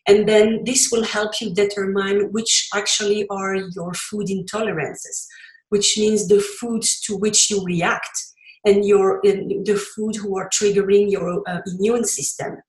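A French-accented woman from Belgium talks through her ideas as an interview guest, stopping and restarting with fillers. Her voice sits at a middle pitch and carries a noticeable room echo. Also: flat delivery, measured pace. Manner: explanatory, frequent fillers and restarts. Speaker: podcast guest, cookbook author. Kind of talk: conversational interview.

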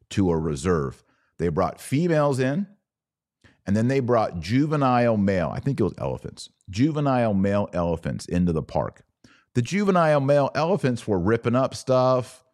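A man with a low-pitched voice speaks as a podcast host and commentator. He is didactic and emphatic, talking conversationally to his audience and pausing deliberately to land his points. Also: a little mellow.

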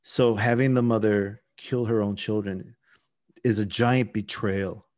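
A man's voice: on the low side, steady tone, unhurried, darkish tone. A man co-hosting a podcast, discussing folklore casually, often circling back and repeating words as he thinks. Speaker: podcast co-host.

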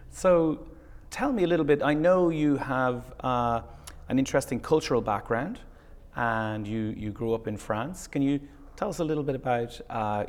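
A man hosting a podcast interview at a tech conference, reading a scripted intro and then asking halting questions. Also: mid-range voice. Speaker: podcast host.

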